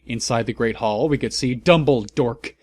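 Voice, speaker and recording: A man giving a comedic, mock-serious dramatic reading as a narrator, with theatrical, deliberate emphasis. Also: mid-range voice, a little mellow.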